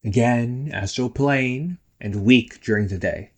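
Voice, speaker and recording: A man's voice: medium pitch, unhurried, darkish tone. A man playing a playful, nagging young ghost brother in a casual roleplay audio drama, teasing and chatty. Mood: bantering. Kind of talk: casual one-sided roleplay audio acting.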